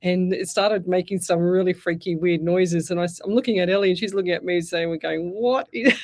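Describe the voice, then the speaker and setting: An Australian woman hosts a live radio show in a dry, close studio sound. Her voice is croaky from a sore throat. She chats casually, trips over her words and laughs at herself. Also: on the low side, normal speed, dry recording. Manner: chatty, stumbling over words, self-mocking. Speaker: radio show host, psychic medium.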